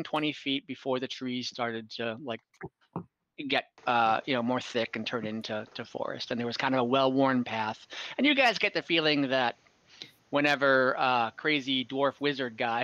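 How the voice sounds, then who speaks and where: A young man narrates a tabletop game as its game master, his voice bright and forward. He rambles casually with plenty of fillers. The sound is dry and a little dull, like a headset on a video call. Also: medium pitch, no echo. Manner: relaxed, rambling, frequent fillers and laughs. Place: home room on a video call.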